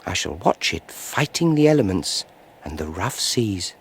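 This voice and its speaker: An actor playing a solemn, disdainful scientist regressed to boyhood in a radio drama, musing aloud in a halting, brooding manner. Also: male voice, deep voice, very animated, breathy edge, exaggerated voice. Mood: wistful, musing.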